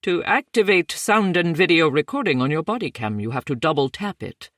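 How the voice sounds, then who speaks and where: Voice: mid-range voice, expressive, a little fast, fairly bright tone, no room sound, clean studio sound, pitched above the performer's own voice, natural voice. A narrator voicing a matter-of-fact male tech worker with a thick Indian accent.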